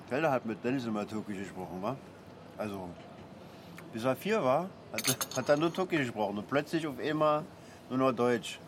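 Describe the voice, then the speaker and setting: An actor playing an easygoing middle-aged father in a radio drama, rambling haltingly with a Berlin accent. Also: lowish voice, natural voice. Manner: colloquial, halting, chatty. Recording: clear street and traffic noise.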